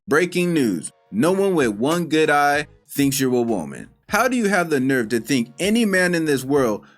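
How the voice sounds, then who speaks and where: A man's voice: fairly high pitch, very animated, normal speed, faint music behind. A man hosting a reaction video, commenting bluntly and sarcastically on clips.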